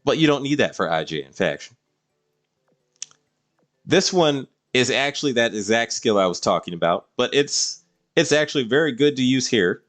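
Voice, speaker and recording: A man's voice: mid-range voice, a bit bright. A man doing casual live-stream game commentary, explaining things to viewers in a rambling way.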